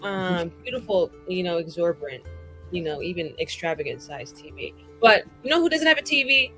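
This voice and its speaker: A woman's voice: fairly low pitch, big pitch swings, fairly quick, rough recording. A woman talking casually and playfully as a tabletop game player, with a theatrical, effusive way of putting things. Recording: music behind the voice. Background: music.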